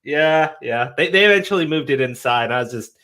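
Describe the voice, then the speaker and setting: A man's voice: a little high, lively intonation, a little fast, bright, forceful tone. A young man hosting a casual live stream, with a cold and a cough, rambling with many restarts. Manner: rambling, stumbling restarts, laid-back. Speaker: host.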